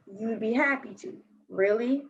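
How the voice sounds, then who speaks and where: A woman's voice: fairly high pitch, moderate pace, slight background noise, natural voice. A woman playing a blunt, slangy, defensive fourteen-year-old girl skateboarder in a staged play reading. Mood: hopeful. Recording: dull lo-fi recording.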